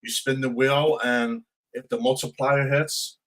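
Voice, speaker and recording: A man co-hosting a live radio show, talking casually and promoting the station in halting, pieced-together phrases. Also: mid-range voice, steady tone, a bit bright, rough recording. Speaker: radio co-host and DJ.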